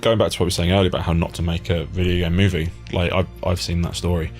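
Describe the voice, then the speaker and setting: Low-voiced man hosting a chat. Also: little pitch movement, very fast, darkish tone, faint music behind. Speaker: podcast host, interviewer. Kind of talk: casual opinionated chat.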